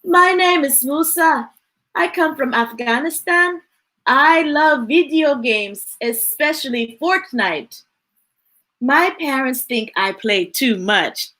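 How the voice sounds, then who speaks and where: A woman voicing an eager young refugee boy with bright, lively delivery. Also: high voice, slow, heavily acted.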